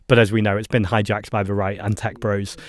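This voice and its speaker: A man with a Mancunian accent talks quickly and fluently, his voice sitting a little low. He sounds animated as he chats on a podcast. The recording is dry and close. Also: no room sound. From Manchester, England. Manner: fast, fluent, animated with laughs and asides. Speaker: guest politician. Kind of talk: casual podcast conversation.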